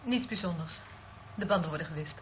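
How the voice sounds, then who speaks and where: A man's voice: on the high side, fast talker, lightly acted. A man in a radio play acting a cold, authoritative official in charge of secret tapes. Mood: cold, commanding. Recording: noisy, tinny old radio recording.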